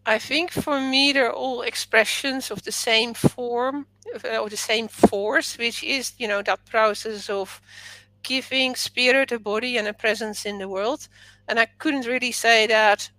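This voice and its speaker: A woman's voice: fairly high pitch, steady tone, unhurried, bright, forceful tone, dry recording, rough recording. A middle-aged woman whose first language is Dutch, a podcast guest speaking in a reflective, thoughtful way in long flowing sentences. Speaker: author and teacher, podcast guest. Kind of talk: conversational interview.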